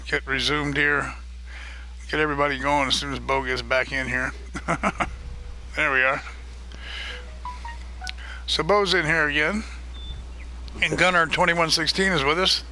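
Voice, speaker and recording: A man hosting a live stream of a multiplayer farming game, chatting casually and greeting viewers by name, his voice getting a little hoarse. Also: fairly high pitch, fairly even intonation, a little slow, pauses often, bright, forceful tone, slight background noise, rough recording. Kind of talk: casual live-stream chat while gaming.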